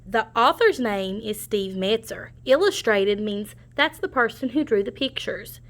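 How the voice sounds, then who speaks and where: A woman reading a children's story aloud, pausing to explain things to young listeners. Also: on the high side, lively intonation, a bit bright. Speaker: storybook reader. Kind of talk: children's read-aloud narration.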